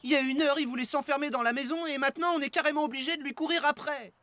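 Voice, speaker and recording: A woman's voice: high voice, fairly even intonation, racing, a bit bright. A woman playing a sensible, grumbling child in a cartoon, with a dry, reproachful manner. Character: sensible, grumbling child who loves stargazing. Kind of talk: animated children's cartoon dub. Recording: music clearly behind the voice.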